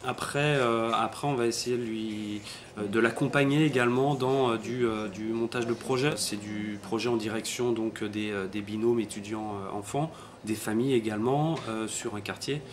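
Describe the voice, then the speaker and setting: A man explaining a programme in an interview, halting often as he searches for words. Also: fairly low pitch, fairly even intonation. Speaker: volunteer programme coordinator. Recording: crowd chatter in background.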